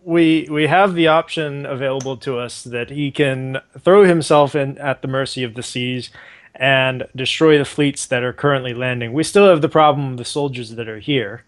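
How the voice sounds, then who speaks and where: A man's voice: medium pitch, normal speed. A man playing a tabletop roleplaying game, planning with the group in a wry, dry way. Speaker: player.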